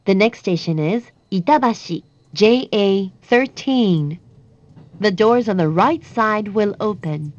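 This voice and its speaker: Slow, clear woman English train announcer. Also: medium pitch, lively intonation, no room sound. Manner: clear, evenly paced announcer delivery. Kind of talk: recorded on-board English announcements. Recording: dull dry sound over train speakers.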